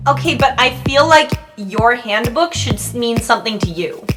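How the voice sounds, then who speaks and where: A woman's voice: fairly high pitch, animated, normal speed, music in the background, natural voice. A woman voicing an earnest, assertive teenage girl who is a prim, insistent student officer in a tabletop roleplay show.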